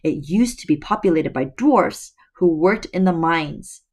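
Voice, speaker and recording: A middle-aged woman teacher, slightly hoarse, reading a novel aloud to her students with chatty asides, recorded on a laptop webcam at home. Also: on the low side, expressive, unhurried, a little mellow. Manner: chatty, addresses students directly. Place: room at home.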